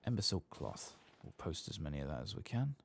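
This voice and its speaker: A soft-spoken man giving unhurried ASMR gameplay commentary, murmuring to himself and sometimes dropping toward a whisper, close to the microphone. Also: rumbling low, very animated, creaky, a little hiss or hum. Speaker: gaming streamer.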